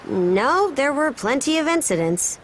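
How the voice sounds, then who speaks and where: A woman's voice: high-pitched, big pitch swings, unhurried, slight background noise. An actress playing a feisty young woman adventurer in a video game, blunt and informal in the way she talks. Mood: brisk, blunt. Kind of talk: scripted video game acting.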